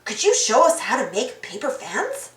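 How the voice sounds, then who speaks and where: A woman reading a children's storybook aloud in an animated, exaggerated, sing-song way for young children. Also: fairly high pitch, moderate pace. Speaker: storybook reader. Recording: roomy echo.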